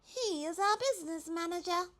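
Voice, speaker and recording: Woman voicing a high, proud storybook giraffe lady. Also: normal speed, a bit bright. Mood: bright, obliging. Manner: polite but superior, haughty about her neck. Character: proud, superior female giraffe, eager to serve. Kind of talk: children's storybook character voice.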